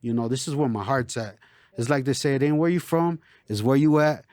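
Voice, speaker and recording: A man born in Chicago and raised in El Paso, a rapper talking casually in an interview, relaxed and streetwise, always saying "you know". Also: mid-range voice, a little fast, no room sound. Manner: laid-back, streetwise, lots of "you know". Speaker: local rapper, interview guest.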